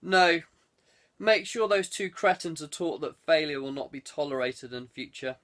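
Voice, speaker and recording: A man's voice: fairly high pitch, animated, normal speed, fairly bright tone. A man voicing an angry, menacing lord and crime boss in an audiobook, commanding and contemptuous. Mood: cold, threatening. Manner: commanding, contemptuous, bellowing when angered. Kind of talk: audiobook character dialogue.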